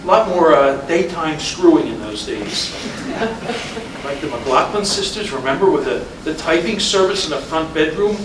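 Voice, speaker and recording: A man's voice: fairly high pitch, animated, moderate pace, close to an everyday voice. A man reading the part of an easygoing, pragmatic husband in casual staged-play dialogue. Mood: teasing, nostalgic. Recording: distant mic in echoey, noisy room.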